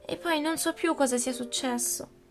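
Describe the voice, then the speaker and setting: A woman's voice: a little high, fairly even intonation, brisk, faint music behind, close to an everyday voice. A woman voicing a frightened, earnest little girl who pleads breathlessly, in a storybook reading. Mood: pleading.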